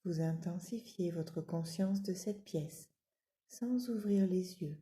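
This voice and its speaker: Woman doing guided meditation, super soft dark mellow voice, kinda low, calm w long pauses. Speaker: yoga nidra relaxation guide. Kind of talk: guided relaxation meditation, spoken instructions.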